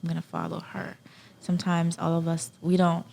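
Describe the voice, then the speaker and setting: Creaky-voiced, earnest woman guest. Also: on the low side, monotone, moderate pace, darkish tone. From Haiti. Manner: earnest, emphatic, repeats key phrases. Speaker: podcast guest. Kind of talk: casual interview conversation.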